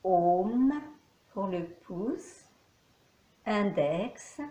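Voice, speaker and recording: A woman teaching yoga nidra, guiding a meditation calmly in short, measured phrases with pauses between them. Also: mid-range voice. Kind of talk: guided meditation, calm instruction. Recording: echoey, reverberant room.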